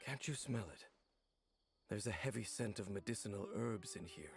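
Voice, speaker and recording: An actor playing a solemn, mysterious male wanderer in an anime video game, speaking in a grave, formal, measured way. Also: on the low side, gravelly, music in the background. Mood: stern, observant. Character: solemn, stoic, mysterious male wanderer haunted by old memories.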